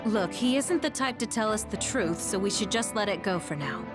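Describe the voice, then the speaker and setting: A woman's voice: mid-range voice, expressive, normal speed, close to an everyday voice. A woman acting a frank, sensible young teammate in an English anime game. Her mid-range voice is firm and protective. Faint music runs underneath.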